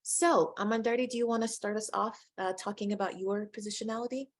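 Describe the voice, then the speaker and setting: A middle-aged American woman moderating and presenting in a webinar, speaking in a measured, inclusive way straight to the audience. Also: mid-range voice, fairly even intonation. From United States.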